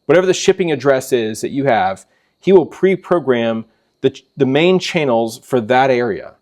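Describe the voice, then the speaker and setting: Casual, halting man demonstrating gear. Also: mid-range voice, lively intonation, darkish tone. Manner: halting, rambling, informal. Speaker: host of a preparedness channel. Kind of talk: casual product walkthrough.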